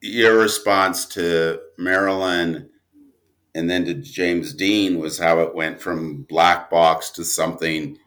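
A man with a very deep voice talks at a very unhurried pace. He is an interview guest thinking out loud, casual and reflective. His delivery rambles and has a modest, self-deprecating streak. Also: fairly even intonation. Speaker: interview guest, audio drama writer and producer.

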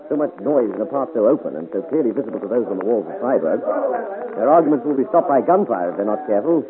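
An actor in a 1950s radio adventure serial playing a seasoned musketeer who comments in a dry, sardonic way. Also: male voice, medium pitch, lively intonation, fast, close to an everyday voice. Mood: dryly observant. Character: wry, seasoned musketeer companion to the hero. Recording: tinny old radio recording with crowd clamour.

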